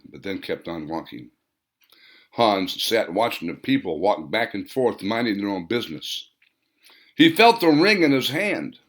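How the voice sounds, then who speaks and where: A man reading his own fiction as a dramatic audiobook narration, with emphatic, theatrical phrasing. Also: lowish voice. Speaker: author reading own novel.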